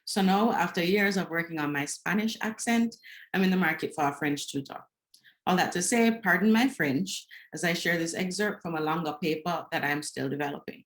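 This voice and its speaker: Low-voiced woman reading in a roomy space. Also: brisk. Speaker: academic presenter, curator. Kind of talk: reading a scripted conference paper. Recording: roomy, echoing sound.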